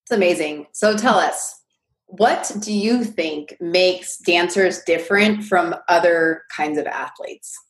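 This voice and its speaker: A woman's voice: fairly low pitch, takes it slowly, poor audio. Upbeat woman podcast host. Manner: upbeat, enthusiastic. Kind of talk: podcast intro and interviewing.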